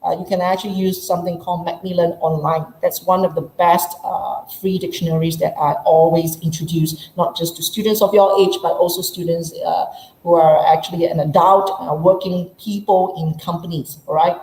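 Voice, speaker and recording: A woman's voice: on the low side, steady tone, normal speed, dark tone, roomy sound, slight background noise, rough recording. A woman English teacher from Malaysia with a Malaysian English accent, giving a chatty workshop lesson and playing out her students' questions.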